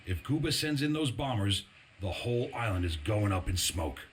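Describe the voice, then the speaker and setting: A man's voice: fairly low pitch, expressive, moderate pace, a little hiss or hum, lightly acted. An actor playing an urgent, earnest male resistance soldier in a video game cutscene, speaking in a tense, emphatic way. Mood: grim.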